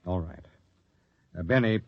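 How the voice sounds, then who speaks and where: A low-voiced, grave expedition captain. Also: male voice, no echo. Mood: concerned. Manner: grave, deliberate, dramatic. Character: expedition captain narrating his log, grave and determined. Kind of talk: 1950s radio drama narration and dialogue. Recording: dull, lo-fi 1950s radio recording.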